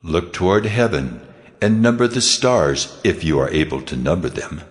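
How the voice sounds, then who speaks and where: A very deep, reverberant God. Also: male voice, expressive, normal speed. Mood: solemn, assuring. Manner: solemn, declarative. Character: God, solemn commanding male divine voice of promise. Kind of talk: dramatized Bible reading. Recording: long cavernous reverb.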